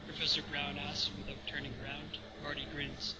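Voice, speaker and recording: A man's voice: on the high side, completely flat delivery, brisk, a crowd in the background. A man narrating stage directions in an amateur read-aloud among friends. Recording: very noisy home voice-chat recording.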